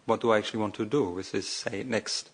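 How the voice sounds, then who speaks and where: A man giving a guided meditation as a monk, speaking off the cuff in short, measured phrases with long, hesitant pauses. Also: fairly low pitch, steady tone, husky.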